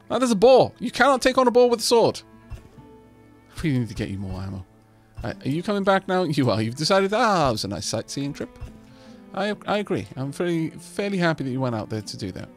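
A man whose first language is Welsh, chatting through a game and talking to his settlers. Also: high voice. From Wales. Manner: chatty, talks to his settlers, self-deprecating. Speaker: let's play host. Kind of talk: casual gameplay commentary.

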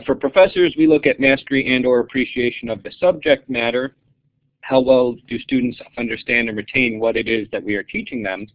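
Man presenting in a dry monotone over a web call. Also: mid-range voice, dry recording, lo-fi sound. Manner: measured, self-described monotone, uh fillers. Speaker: geology professor, guest speaker. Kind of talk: webinar presentation.

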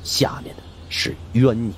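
A man narrating a supernatural mystery audiobook as an animated storyteller. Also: moderate pace, slight background noise.

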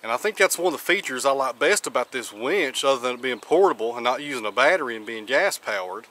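A man's voice: mid-range voice, lively intonation, quick pace, bright, forceful tone. Guy in his 30s outside by the road, plain practical how-to talk, step by step, camera mic. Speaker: channel host. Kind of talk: casual how-to explanation. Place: outdoors by a road with trees.

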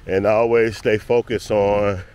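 A man's voice: fairly low pitch, little pitch movement, a little slow, a little mellow, smooth. A man vlogging casually on a walk outdoors in a park with his dog, rambling through his thoughts and calling to the dog now and then. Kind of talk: casual walk-and-talk vlog.

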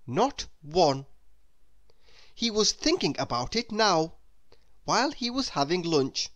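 A man reading a children's story aloud as narrator, in an expressive, deliberate way. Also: high voice. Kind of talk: scripted narration for young children.

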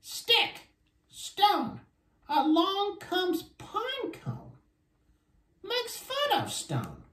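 A woman's voice: very high voice, wildly swooping pitch, drawn-out words, long pauses, a bit bright, roomy sound, distant mic, pitched above the performer's own voice, exaggerated voice. A woman voicing a friendly, curious puppet monster, with simple, eager childlike replies in a children's read-aloud. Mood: childlike, earnest. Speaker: puppet co-host of children's story time.

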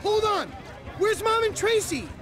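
An actor playing an anxious, foul-mouthed young adult son in a video game, with an American accent and nervous, stammering delivery. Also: male voice, very high-pitched, sing-song, measured pace, a bit bright, faint music behind, full character voice. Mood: alarmed, disbelieving. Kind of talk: video game dramatic acting.

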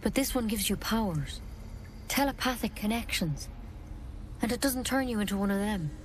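A woman playing an earnest, knowledgeable druid in a video game, speaking in a frank, measured, explanatory way. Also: mid-range voice, expressive, a little hiss or hum, close to an everyday voice. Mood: grave, explaining. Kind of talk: video game dialogue acting.

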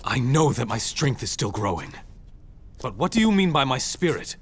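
An actor playing a proud, brooding young warrior bent on revenge in a video game, formal and intense. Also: male voice, medium pitch, very animated, normal speed, a little hiss or hum. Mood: angry. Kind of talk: dramatic video game voice acting. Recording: music under the voice.

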